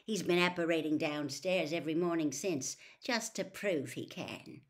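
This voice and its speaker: A woman's voice: low-pitched, moderate pace, close to an everyday voice. A woman voicing a cheeky teenage boy from a storybook, grumbly and teasing in manner.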